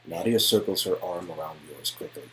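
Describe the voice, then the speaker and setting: A man's voice: sits low, brisk, bright, forceful tone, low-quality recording. A man reading scripted story narration from an interactive game, recorded with faint background noise. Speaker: narrator and reader of game dialogue.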